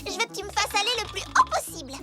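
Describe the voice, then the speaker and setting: An actor voicing an excitable, eager little zebra child in a French children's cartoon, with music behind. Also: extremely high pitch, fast. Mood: pleading.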